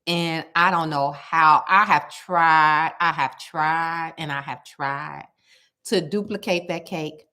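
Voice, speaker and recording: A woman with a loud voice that carries, talking casually to her viewers. Her voice has a bright, forceful edge over a fairly low pitch. She tells stories with animated emphasis and repeats words over and over to drive her point home. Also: takes it slowly. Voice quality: loud, carrying voice. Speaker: cooking vlogger.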